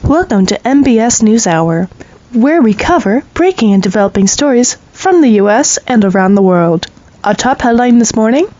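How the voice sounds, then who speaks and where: A woman's voice: a little high, darkish tone, no room sound, muffled recording. A woman playing a radio news anchor, reading serious headlines in a formal newsreader delivery. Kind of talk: scripted radio news report.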